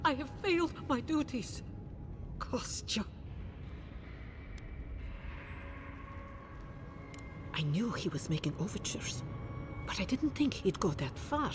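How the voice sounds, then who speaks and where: An actress playing an anxious, devout leader of an endangered keep, earnest and formal, in a video game cutscene. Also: female voice, very animated, normal speed, music in the background. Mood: dismayed. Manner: earnest, urgent, emotive, formal. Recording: clear rumbling ambient noise behind.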